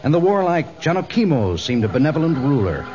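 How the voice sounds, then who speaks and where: An actor as the narrator of a radio adventure serial, telling the story in a formal, dramatic way. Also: male voice, on the high side, big pitch swings, fairly quick, mellow. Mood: dramatic, measured. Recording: dull old radio recording, music behind.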